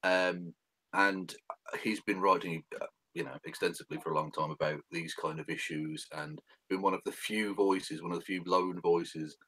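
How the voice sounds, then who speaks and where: Low-voiced Englishman. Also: steady tone, normal speed, sharp tone, poor audio. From England. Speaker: co-host. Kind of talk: casual, joking online chat.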